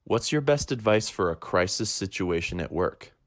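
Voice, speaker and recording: A young interviewer with a low-pitched voice that moves around a lot in tone. The questions are short and scripted, delivered politely and with an upbeat feel. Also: expressive, normal speed. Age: young adult.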